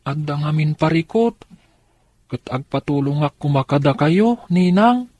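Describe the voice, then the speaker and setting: A woman's voice: deep voice, animated, unhurried, dark tone, breathy edge, no room sound, exaggerated voice. A woman acting an earnest young grandchild in a radio drama, with an Ilocano accent. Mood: earnest, pleading.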